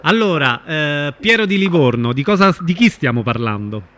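A man's voice: on the high side, big pitch swings, normal speed, a bit bright, dry recording. A man hosting an informal radio interview, asking questions and feeling for his wording.

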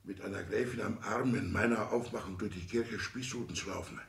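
A man's voice: on the low side, fairly quick, creaky, echoey room. An actor playing an indignant, anxious old petty-bourgeois father, a minor official, theatrically agitated in the style of 1960s German radio drama. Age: older. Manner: agitated, theatrical, swinging between outbursts and hushed lament.

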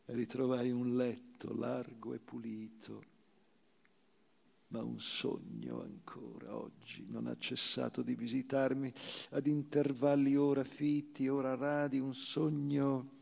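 A man giving a solemn, measured dramatic recitation of literary prose on stage, with deliberate pauses. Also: medium pitch, vocal fry, darkish tone. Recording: live theatre recital with long hall reverb.

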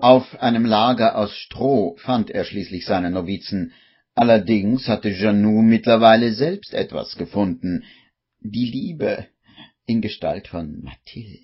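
A man narrating a radio play in a measured storytelling manner, on an old 1950s recording. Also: on the low side, dull audio, natural voice.

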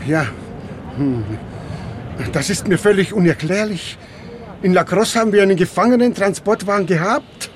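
An actor playing a dutiful, bewildered train conductor speaking haltingly in a German radio drama. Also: male voice, high voice, a little hiss or hum, close to an everyday voice. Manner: halting, astonished.

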